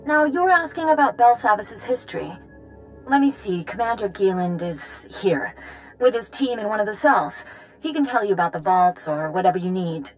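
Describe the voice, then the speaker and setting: A woman voicing a harried, earnest young prison assistant in a video game, flustered and halting. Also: mid-range voice, animated, soft background music, natural voice, poor audio. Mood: relieved, hurried.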